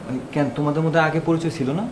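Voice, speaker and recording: An actor playing a genial, talkative, curious husband hosting a guest in a TV drama, with a Bangladeshi Bengali accent and a familiar manner. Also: male voice, a little high, racing, natural voice. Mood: surprised, curious. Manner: animated, inquisitive, familiar. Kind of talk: TV drama dialogue. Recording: echoey room, music underneath.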